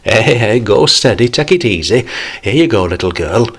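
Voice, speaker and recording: A man's voice: lowish voice, expressive, moderate pace, a bit bright, slightly rough, pitched above the performer's own voice, full character voice. A man voicing a jovial, preachy older man, raising his voice above his narration. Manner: pleading, then cheery and coaxing.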